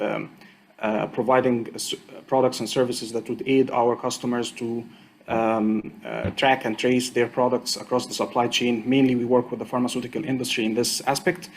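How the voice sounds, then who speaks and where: Male panelist, mid-pitched, measured and explanatory, lots of fillers, video call. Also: slight background noise. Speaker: panelist at an online conference. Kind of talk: conference panel talk.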